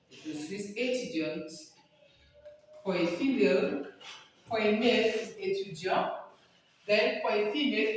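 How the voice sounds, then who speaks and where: Nigerian woman, language teacher, very slow speech, heavy room echo, distant mic. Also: medium pitch, a little hiss or hum. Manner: slow, repeating phrases for learners to copy. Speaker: French teacher. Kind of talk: classroom-style language lesson. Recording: very echoey room, speaker far from the mic.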